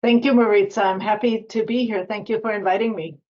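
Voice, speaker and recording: A woman in her sixties from Germany with a German accent, speaking as an interview guest who explains history in a steady storytelling way. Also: mid-range voice, fairly quick, lo-fi sound. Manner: explanatory, steady, with small restarts. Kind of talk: conversational storytelling.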